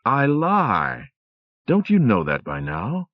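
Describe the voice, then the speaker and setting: A sly, cruel male demon with a croaking voice taunts his prey, as a man reading a story puts him on. The voice sits well above the reader's own, with a mocking edge. The recording is dry and slightly dull. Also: mid-range voice, huge pitch swings, takes it slowly, no room sound, pitched above the performer's own voice.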